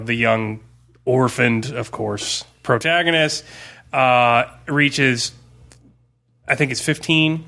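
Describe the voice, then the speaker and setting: A man's voice: mid-range voice, gravelly, sharp tone. A man co-hosting a podcast, talking in a casual, conversational way. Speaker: podcast co-host; school teacher. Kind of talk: casual chat, recommending books.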